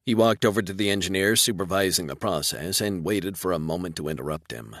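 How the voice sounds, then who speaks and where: A man's voice: deep voice, fairly quick, a bit bright, dry recording, studio quality. A man reading scripted narration for a military science fiction audiobook.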